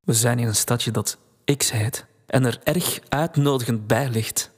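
A Flemish actor playing an understated thirty-something narrator in an audio drama, speaking in short deliberate phrases. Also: male voice, mid-range voice, breathy edge. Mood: understated narration.

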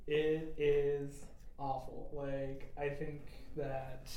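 A man chatting casually as a podcast co-host, full of fillers and quick laughs. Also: a little high, level tone, reverberant, highs cut off, a little hiss or hum. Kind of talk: casual chat.

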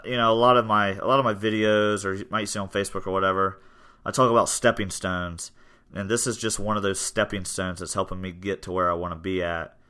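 A man's voice: low-pitched, fairly quick, smooth. A man, chatting casually on a podcast about his gym, rambling with lots of fillers. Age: 20s. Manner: relaxed, rambling with many fillers. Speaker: gym owner and podcast host.

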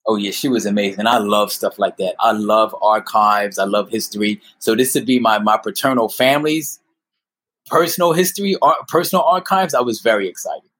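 A man's voice: fairly low pitch, a little fast, a bit bright. Earnest man in his fifties telling his story. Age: 50s. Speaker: guest storyteller. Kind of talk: personal storytelling in a conversational interview.

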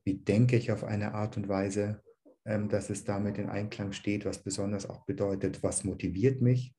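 A man teaching dharma to an online group, calm and searching, with a slight cold. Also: on the low side, normal speed. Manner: calm, searching, with many "ähm" restarts.

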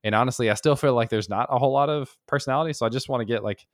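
A man's voice: mid-range voice, racing, no room sound, studio quality. A man appearing as a podcast guest, chatting enthusiastically and saying "like" a lot. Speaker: guest, developer and YouTuber. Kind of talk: casual podcast conversation.

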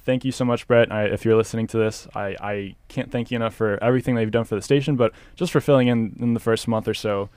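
Young guy cohosting campus sports radio, lowish voice, laid back and chatty. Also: fast talker, few pauses, clear, resonant tone, no echo. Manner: relaxed and chatty, laughs easily. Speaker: co-host. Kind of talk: casual sports talk.

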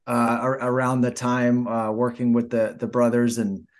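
A man co-hosting a casual film chat, sharing anecdotes haltingly and repeating words as he searches for the next one. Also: mid-range voice, little pitch movement. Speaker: podcast co-host.

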